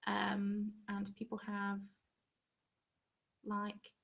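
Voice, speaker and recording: A woman's voice: mid-range voice, monotone, very slow, creaky. A young English woman hosting a craft channel's live stream, chatting casually and rambling with frequent ums.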